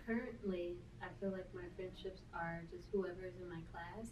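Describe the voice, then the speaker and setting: A woman's voice: on the low side, steady tone, normal speed, highs cut off. Casual young woman, distant in a roomy space. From United States. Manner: thoughtful, casual, frequent um and like. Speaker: guest. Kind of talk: casual conversation. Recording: roomy, distant with faint noise.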